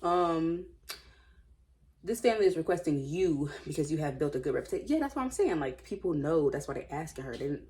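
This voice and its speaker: A woman reading aloud and commenting casually, with an informal, opinionated, slangy way of musing out loud. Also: deep voice, animated, brisk. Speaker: host reading and commenting on online stories.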